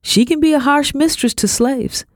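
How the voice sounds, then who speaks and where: A bossy middle-aged housekeeper who barely stops for breath, as a woman reads her in a storybook. She talks high and loud with rough country grammar, and the recording is dry and close. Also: no room sound, close to an everyday voice. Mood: brusque. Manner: brisk, scolding, rustic grammar. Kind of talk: audiobook character voice.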